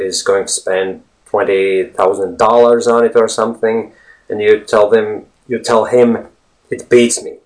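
Low-voiced man teaching English. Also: poor audio. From Latvia. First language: Latvian. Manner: chatty, digressive, repeats and rephrases points. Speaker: English teacher and video host. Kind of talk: informal language lesson to camera.

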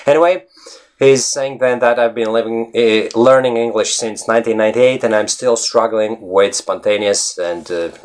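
A man's voice: lowish voice, fairly even intonation, normal speed. A man from Latvia, a native Latvian speaker with a Latvian accent, talking casually and emphatically to his online audience as an English-learning vlogger. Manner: emphatic, conversational, often checks with "you know what I mean". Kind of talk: casual explanatory talk to viewers.

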